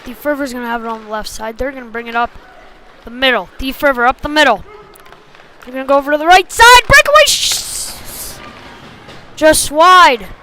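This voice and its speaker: A woman calling a youth hockey game live in short, clipped phrases, getting excitable on big plays. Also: high-pitched, moderate pace, background noise. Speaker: play-by-play hockey commentator. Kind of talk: live sports commentary.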